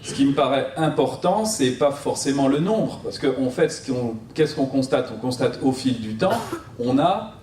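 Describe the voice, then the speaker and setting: A man answering as a planning expert at a seminar, explaining off the cuff with a hesitant, halting delivery. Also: medium pitch, lively intonation, darkish tone. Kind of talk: spontaneous public explanation. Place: seminar room, recorded from a distance. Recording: roomy, distant mic, faint noise.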